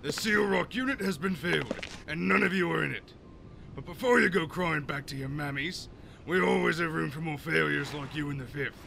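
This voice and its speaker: A man performs a mocking military recruiter in a game fan dub. His voice is fairly high and forceful, heavy with gravel. He barks and sneers with swagger over background music. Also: moderate pace, slight background noise, heavily acted. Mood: sneering. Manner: sneering, barking announcements.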